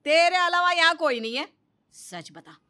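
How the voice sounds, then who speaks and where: Sharp, high-pitched nagging sister-in-law, acted by a woman. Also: expressive, measured pace, heavily acted. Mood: scolding. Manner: sarcastic, scolding.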